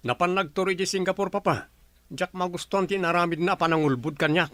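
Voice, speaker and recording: A man's voice: high-pitched, fairly even intonation, brisk, a bit bright, no room sound. A man with a Filipino accent playing a troubled businessman and son in a radio drama. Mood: troubled, earnest. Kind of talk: Ilocano radio drama acting.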